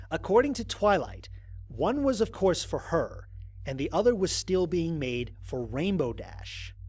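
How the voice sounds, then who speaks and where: A man playing a lone astronaut who narrates his mission logs in a wry, exasperated, conversational way, as in an audio drama. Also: a little high. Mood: exasperated, amused. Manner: conversational, expressive, sardonic. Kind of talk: dramatized first-person log narration.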